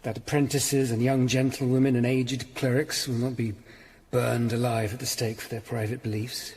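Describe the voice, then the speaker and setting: An actor playing a grave, dutiful Tudor lawyer-detective in a radio drama, speaking in a measured, formal and earnest way. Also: male voice, medium pitch, level tone, darkish tone, slightly rough, lightly acted. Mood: earnest, deferential. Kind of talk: radio drama acting and first-person narration.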